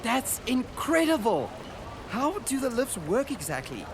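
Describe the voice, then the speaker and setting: A man's voice: very high voice, wildly swooping pitch, unhurried, noisy background, full character voice. A man playing an earnest, wonder-struck boy traveler in a radio drama, speaking as if recording an audio diary. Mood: amazed, excited. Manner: earnest, descriptive, full of wonder.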